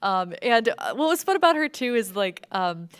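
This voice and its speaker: A woman's voice: a little high, big pitch swings, moderate pace, clean tone. A woman talking casually and enthusiastically as a podcast creator at a public event, often dropping in "like". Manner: informal, enthusiastic, frequent "like". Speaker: podcast creator and panel speaker. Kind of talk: casual chat at a public talk. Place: library event with audience.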